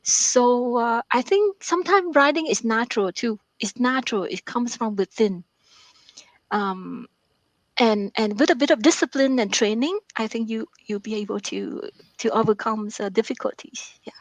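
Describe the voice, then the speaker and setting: Soft-spoken hesitant woman, dry sound. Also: on the high side, normal speed, no room sound, rough recording. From Vietnam. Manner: hesitant, many fillers and repeated words. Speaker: author and math professor, guest. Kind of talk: interview answers.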